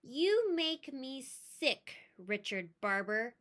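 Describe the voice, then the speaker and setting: A woman's voice: high voice, very animated, very slow, a bit bright, heavily acted. A woman voicing a feisty, indignant young girl in a storybook, defiant and emphatic. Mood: furious. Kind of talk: read-aloud storybook character voice.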